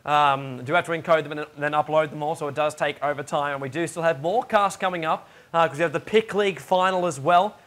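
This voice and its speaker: A young man with a raspy voice, chatting and joking as an esports shoutcaster from his home studio. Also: on the high side, fairly quick, few pauses. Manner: chatty and joking, with many fillers. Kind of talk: casual solo commentary during a game pause.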